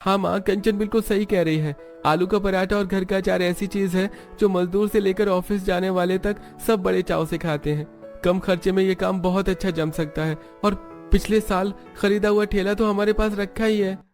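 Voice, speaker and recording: A man voicing a cheerful, persuasive young husband and son in an animated family story. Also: high-pitched, normal speed, faint music behind, voice pushed up. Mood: upbeat. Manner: upbeat, persuasive.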